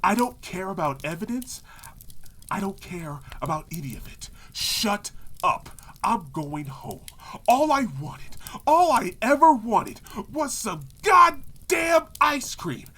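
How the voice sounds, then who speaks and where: A man's voice: sits high, big pitch swings, slow, bright, forceful tone, full character voice. A man playing a foul-mouthed, exasperated and sarcastic pony hero in a comedic audio drama. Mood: exasperated, furious.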